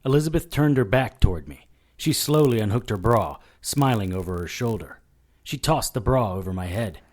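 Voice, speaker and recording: An actor playing a wisecracking, defiant noir investigator in an audio drama, dry and sardonic in dialogue and narration. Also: male voice, lowish voice, expressive, normal speed, a little mellow. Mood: wry.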